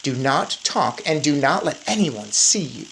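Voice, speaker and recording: A woman's voice: a bass voice, big pitch swings, measured pace, slight background noise, heavily acted, low-quality recording. A woman voicing a sensible young boy turned mouse in a storybook, earnestly explaining and coaxing. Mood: urgent.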